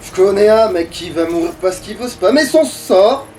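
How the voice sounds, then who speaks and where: A man's voice: high-pitched, lively intonation, normal speed, darkish tone. A man gives casual game commentary. He talks in slang and swears freely. There is faint noise behind him. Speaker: let's play host. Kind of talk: casual gaming commentary with a co-player.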